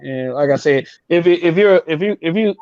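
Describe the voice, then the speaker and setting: A man's voice: a little high, fairly quick, no room sound, lo-fi sound. A man co-hosting a casual chat, rambling and opinionated with frequent restarts. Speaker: podcast co-host.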